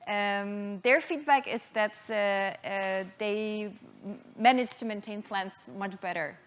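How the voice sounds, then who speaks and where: Polish-born founder, 30s, female; hesitant investor-pitch delivery, lots of "uh". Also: slow pace, clean tone. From Poland. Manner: hesitant, many uh and um fillers.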